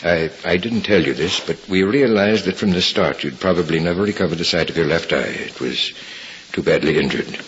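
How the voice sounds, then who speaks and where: An actor playing a frank, steady middle-aged hospital doctor who explains in a measured way, in a 1950s radio drama. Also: male voice, sits low. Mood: grave, careful. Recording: muffled 1950s radio recording with hiss.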